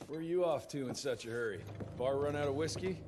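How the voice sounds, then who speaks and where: A man's voice: a little high, a little fast, background noise, natural voice. An actor playing a brash, impatient young male monster hunter who swears freely. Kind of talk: video game cutscene acting. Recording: game audio over loud music score.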